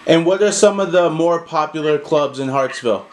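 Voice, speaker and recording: A male interviewer asks his guest questions politely and at an even pace. His voice sits slightly high, and faint background noise runs under it. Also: moderate pace, poor audio. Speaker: interview host. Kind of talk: hosting an interview.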